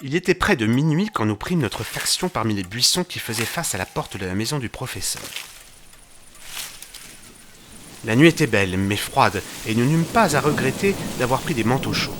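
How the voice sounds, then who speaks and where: A man playing an earnest, wry detective's companion who narrates an audio drama in measured, literary storytelling. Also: mid-range voice, expressive, a bit bright, a little hiss or hum, close to an everyday voice. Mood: measured, atmospheric. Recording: music under the voice. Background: music.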